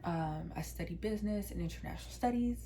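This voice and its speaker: A young woman in her twenties, from Washington, D.C., talking straight to her camera in a relaxed, candid vlog. Her voice sits a little on the low side and she rambles with lots of "like" and "um", with a faint hiss behind her. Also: fast talker. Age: 20s. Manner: candid, chatty, many fillers like "um" and "like". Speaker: vlogger. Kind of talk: casual personal storytelling.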